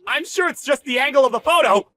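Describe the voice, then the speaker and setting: A man's voice: sits high, big pitch swings, normal speed, pushed and bright, soft background music, exaggerated voice. A man playing a smug, bullying boss in a dramatized story, with a taunting, slangy, exclamatory delivery. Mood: panicked. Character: smug bullying male boss, gloating and contemptuous. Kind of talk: dramatized story narration with character voices.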